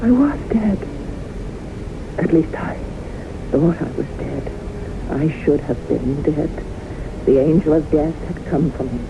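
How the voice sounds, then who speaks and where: Low-voiced, haunted widow. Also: female voice, expressive, vocal fry, heavily acted. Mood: haunted, intense. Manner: dramatic, deliberate, earnest. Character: haunted widow solemnly recounting her brushes with death. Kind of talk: old-time radio drama acting, storytelling. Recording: dull, hissy old radio broadcast.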